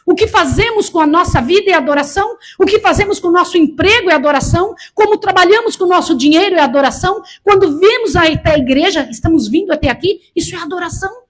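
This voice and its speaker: A woman's voice: very high voice, expressive, fast. A sixty-year-old Brazilian woman preaching a sermon, emphatic and repetitive, urging her listeners with direct commands. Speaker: preacher, missionary. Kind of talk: sermon to a church congregation.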